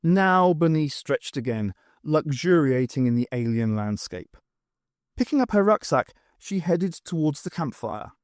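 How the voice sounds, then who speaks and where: Animated man narrating an audiobook. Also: a little high, unhurried, no room sound, studio quality. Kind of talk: scripted narration of a science fiction novel.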